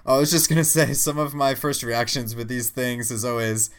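A man's voice: medium pitch, flat delivery, normal speed. A man co-hosting a podcast, giving casual news commentary in a conversational, restarting way. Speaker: podcast co-host.

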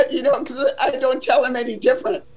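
An older woman from Southern California with a deep voice, telling her life story in a casual, chatty interview full of "you know" fillers. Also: normal speed, darkish tone, low-quality recording.

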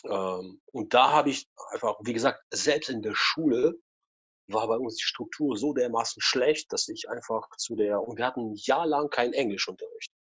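Interview guest, forties, husky voice, rambling storyteller, muffled recording. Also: male voice, mid-range voice, moderate pace, a bit bright. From former Soviet Union. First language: Russian. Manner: rambling with many fillers and tag questions. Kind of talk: casual personal storytelling.

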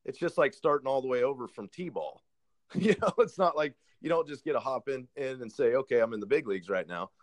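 An earnest man in his thirties talks through his work in a relaxed, casual exchange. He chuckles at himself, then settles into sincere advice, with a voice of ordinary middle pitch. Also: fairly quick. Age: 30s. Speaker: realtor. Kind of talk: casual podcast conversation.

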